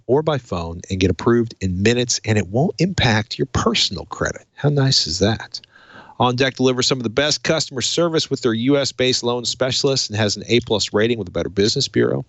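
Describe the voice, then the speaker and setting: A man's voice: lowish voice, dry recording. A man hosting a tech podcast, talking through hardware and reading in a presenter's way. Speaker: podcast host. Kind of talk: tech podcast talk and host-read sponsor spots.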